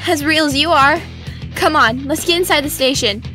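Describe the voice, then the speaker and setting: A woman's voice: high-pitched, moderate pace, music in the background, lightly acted. A woman playing a kind, earnest young girl who fights demons in an audio drama. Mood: concerned, reassuring.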